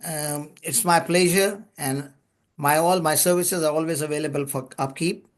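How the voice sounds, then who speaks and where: An older man from Pakistan, an English speaker, telling long stories of his career in a relaxed way with small chuckles and restarted words. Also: a little high, moderate pace. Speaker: guest, lubrication consultant. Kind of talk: podcast interview storytelling.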